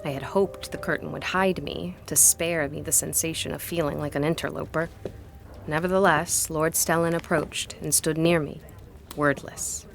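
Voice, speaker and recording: Give a gothic audio drama heroine: a principled, curious historian with a lowish voice. She speaks in formal, literary sentences and sounds earnest, over faint music. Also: steady tone, moderate pace, creaky. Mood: reflective. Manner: articulate, formal, literary phrasing.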